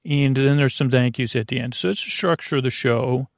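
Male bedtime podcast host, gravelly fry, dry close sound, rambling and self-interrupting delivery. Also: medium pitch, brisk, no echo. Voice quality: creaky, gravelly. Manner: meandering, self-interrupting, trailing off mid-thought.

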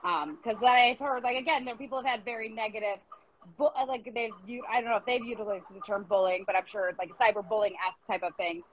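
Female interview host, higher-pitched, reflective and meandering, over a noisy online call. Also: fast, lo-fi sound. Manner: rambling, reflective, self-deprecating. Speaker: host of an arts interview show. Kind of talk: casual interview chat.

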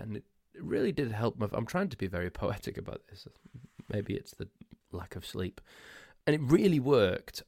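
Congested man with a low voice. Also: a little mellow, no room sound, clean studio sound. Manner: rambling, self-mocking, laughs often. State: congested, losing his voice. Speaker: podcast host. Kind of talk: solo podcast chat.

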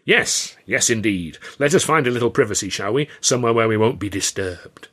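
A man's voice: fairly low pitch, animated, brisk, a bit bright. A man voicing a sly, overbearing older theatre boss, falsely genial and wheedling in a storybook reading. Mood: genial, commanding. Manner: wheedling, pointed, colloquial bargaining.

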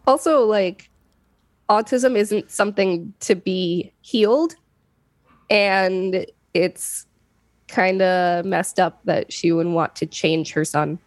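A woman's voice: medium pitch, slow pace, no echo. A woman co-hosting a podcast, reading an article aloud and adding casual commentary. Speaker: podcast co-host.

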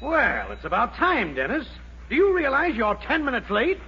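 An American actor playing a fussy, easily outraged boss in 1940s radio comedy, exasperated and sputtering, on an old recording. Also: male voice, very high voice, wildly swooping pitch, normal speed, bright, forceful tone, slightly rough, soft background music, full character voice. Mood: indignant. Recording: muffled radio recording with audience noise.

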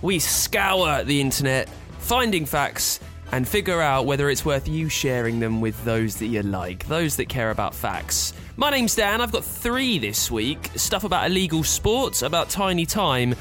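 An upbeat man hosting a podcast, chirpy and quick to hand over to his co-hosts. His voice is slightly high for a man and swings a lot in pitch. Faint music sits in the background. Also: big pitch swings, fairly bright tone. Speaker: podcast host. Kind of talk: casual chat, show intros.

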